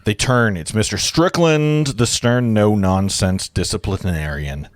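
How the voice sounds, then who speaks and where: A man's voice: on the low side, unhurried, dry recording. A man reading screenplay directions as the narrator of a table read, informally, with casual asides.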